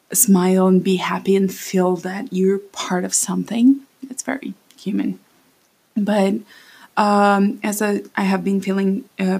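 A woman's voice: mid-range voice, gravelly, a little mellow, husky. A woman in her late twenties from Brazil with a Brazilian Portuguese accent, hosting her own podcast in a rambling, self-conscious way. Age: late 20s. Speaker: podcast host. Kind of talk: casual solo podcast monologue. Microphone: standing at a home mic.

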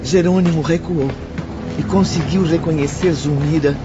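A man giving measured, literary narration for a radio drama. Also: a little high, fairly even intonation. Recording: dull recording with music behind.

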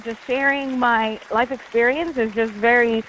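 A woman's voice: a little high, fairly even intonation, moderate pace, fairly bright tone, a little hiss or hum, poor audio. A woman in her thirties from Panama with a Panamanian Spanish accent, a native Spanish speaker chatting as a guest in a radio interview. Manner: friendly, chatty, bubbly. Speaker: guest, meteorologist.

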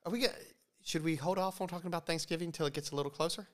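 A man co-hosting a casual podcast chat while sick with a runny nose, relaxed and joking, often restarting his sentences. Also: fairly high pitch, rapid-fire. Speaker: podcast co-host.

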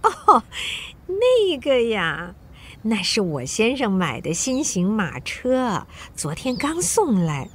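An actress in a radio drama playing a boastful, teasing French society wife with coy, theatrical, drawn-out exclamations. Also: female voice, on the high side, huge pitch swings, slightly rough, heavily acted. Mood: showing off.

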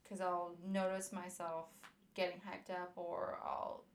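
A soft-spoken woman co-hosting a casual podcast, talking hesitantly with frequent pauses and stumbles. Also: fairly low pitch, level tone, slight background noise. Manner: hesitant, many "um"s, stumbles over words. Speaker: podcast co-host.

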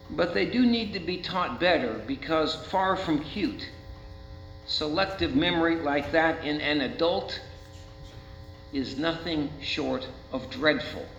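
High-pitched American preacher man, roomy sound. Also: fairly bright tone. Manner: rhetorical questions, deliberate dramatic emphasis. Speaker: Lutheran pastor preaching. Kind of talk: sermon. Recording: roomy, muffled, with faint mains hum.

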